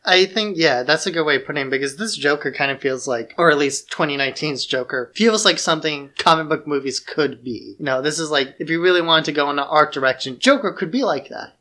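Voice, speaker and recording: A man's voice: a little high, a little fast, hardly any pauses, bright, forceful tone. A man co-hosting a podcast, chatting casually about film. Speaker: podcast co-host.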